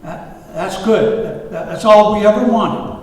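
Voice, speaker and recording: Man acting an eager high-voiced executive, echoey. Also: a little slow, darkish tone. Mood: nervous, sweet. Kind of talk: table read of a screenplay. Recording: distant echoey room, faint noise.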